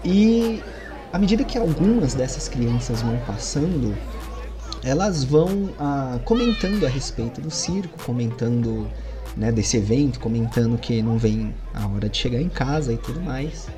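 A man in the game master's chair tells the scene in a loose, rambling way, full of little fillers. His voice sits at an ordinary pitch, and soft music plays faintly behind him. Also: normal speed, a crowd in the background. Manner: relaxed, rambling narration with fillers. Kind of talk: narrating a tabletop horror game. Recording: music under the voice.

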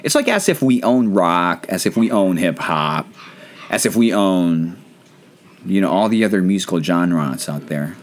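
A man's voice: deep voice, lively intonation, a little slow. A Filipino man, not a native English speaker, hosting a casual, rambling, self-deprecating chat show. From Philippines. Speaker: podcast host.

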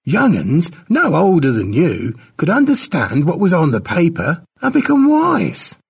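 Guy doing an old-time tribe storyteller, slow n dramatic, husky, pitched up, big swoops, audiobook tale. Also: huge pitch swings, distant mic, full character voice. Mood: grand, wondering.

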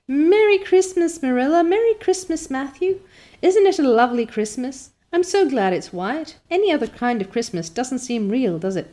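A woman's voice: high-pitched, big pitch swings, normal speed, warm tone, pitched above the performer's own voice. Woman doing a rapturous orphan girl. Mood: joyful. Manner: gushing, breathless enthusiasm. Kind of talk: storybook reading.

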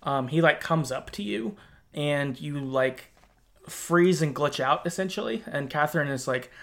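A man hosting a podcast, casually talking through a video game in an informal, halting way, thinking aloud as he goes. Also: a little high, creaky, fairly bright tone. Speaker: podcast host. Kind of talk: casual conversational game discussion.

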